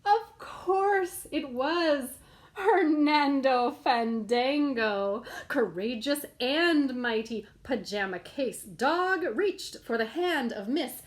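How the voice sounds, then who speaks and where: A woman reading a rhyming children's picture book aloud in a playful, sing-song storytelling manner. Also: high-pitched.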